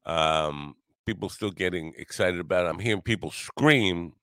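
Deep-voiced man in his sixties, rambling. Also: fairly bright tone, dry recording, studio quality. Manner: halting, meandering, self-deprecating, profane. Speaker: podcast host. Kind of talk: casual rambling solo monologue.

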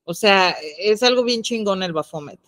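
A woman's voice: mid-range voice, normal speed, fairly bright tone, no echo, studio quality. A woman with a Mexican accent co-hosting a podcast, talking in a chatty, playful way with slangy asides. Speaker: podcast co-host. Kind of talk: casual podcast chat about the occult.

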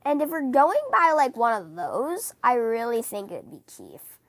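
Female podcast host, very high voice scratchy with a cold, chatty rambling fan talk, dry close sound. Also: moderate pace, no echo. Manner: giggly, rambling, animated.

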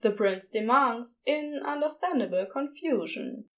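A woman narrates scripted fantasy fiction. Her voice sits on the high side. Her intonation swings widely and keeps the reading animated. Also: a little slow.

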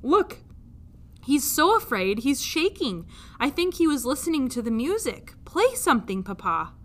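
Woman as an eager, high-pitched little princess. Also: big pitch swings, unhurried, a bit bright, full character voice. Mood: pleading, insistent. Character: young princess, insistent, eager little girl.

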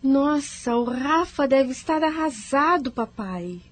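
A woman's voice: sits high, animated, takes it slowly. A woman playing an anxious, distraught young woman in a radio soap opera. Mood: concerned. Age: young adult.

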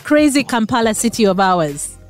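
A woman's voice: fairly high pitch, sing-song, moderate pace, soft background music. A woman interviewing a guest, attentive and affirming with frequent murmurs. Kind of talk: casual interview conversation.

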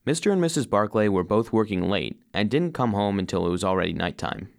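A man's voice: on the low side, creaky, dry recording, studio quality. A man playing an earnest, loyal little robot vacuum cleaner who narrates a children's audio story. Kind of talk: scripted first-person children's story narration.

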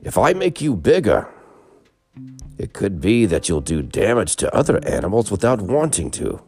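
A man's voice: a bass voice, gravelly, a little mellow, exaggerated voice. A man voicing a wise, lordly male god of the animals in a storybook tale, speaking in a grand, commanding way.

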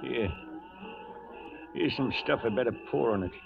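An actor playing a folksy, plain-spoken old American trail cook in a 1940s western radio drama, drawling in ungrammatical cowboy talk. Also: male voice, medium pitch, a little slow, creaky. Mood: wry, needling. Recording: dull 1940s radio recording, music behind.

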